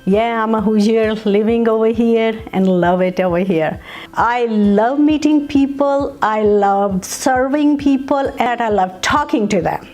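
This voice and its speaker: A woman's voice: on the high side, a little slow, darkish tone. A woman from Pakistan, a retail banker speaking simply in an interview. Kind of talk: interview testimonial. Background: faint background music.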